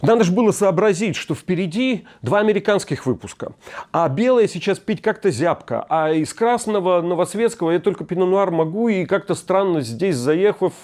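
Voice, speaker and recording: A man in his fifties delivers a scripted commentary monologue from a hotel room. His voice is mellow and sits a little high, and his tone is wry and ironic. He reflects at a measured pace. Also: expressive. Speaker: host.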